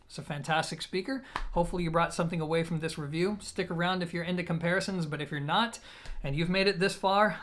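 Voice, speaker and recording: A man's voice: a little high, a bit bright. A man presenting an audio gear review, talking conversationally and directly to his viewers. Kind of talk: product review talk to camera.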